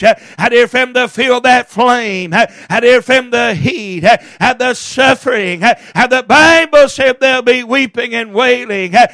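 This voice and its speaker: A man preaching a fiery hellfire sermon in a chanted cadence, packed with "uh, and everything" fillers, stretching key words slowly and breaking into shouts. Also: extremely high pitch, no room sound.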